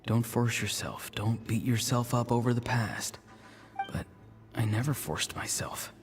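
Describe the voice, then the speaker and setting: An actor voicing an earnest, self-doubting young man in a video game, pensive and soft-spoken. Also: medium pitch, a little slow, close to an everyday voice. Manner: hesitant, pensive. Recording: voice over clear background music.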